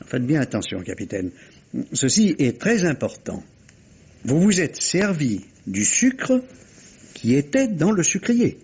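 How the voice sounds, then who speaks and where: An actor playing a courteous, probing police inspector in a vintage radio mystery, with polite, hesitant, insinuating questions. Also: male voice, on the high side, huge pitch swings, a little slow, a little mellow, breathy edge, highs cut off, heavily acted. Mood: insistent.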